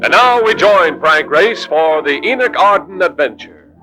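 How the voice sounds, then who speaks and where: An actor serving as a dramatic announcer, giving an emphatic, grand scripted introduction in 1940s radio style. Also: male voice, on the high side, animated, measured pace, over loud music, poor audio.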